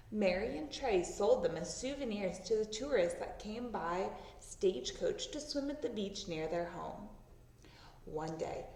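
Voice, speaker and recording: A woman narrates a children's storybook aloud. Her delivery is expressive and very animated in pitch. The room is clearly echoey and the microphone sits a little way off. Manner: expressive, acting out sound words. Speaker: storybook reader. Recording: roomy echo, distant microphone.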